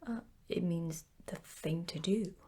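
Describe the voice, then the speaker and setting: Woman plays learned, self-important owl for bedtime storybook: unhurried, soft breathy voice, small hesitations. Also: on the low side, exaggerated voice. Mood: pompous. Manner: pompous, explaining, with small hesitations. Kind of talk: children's bedtime storybook character voice.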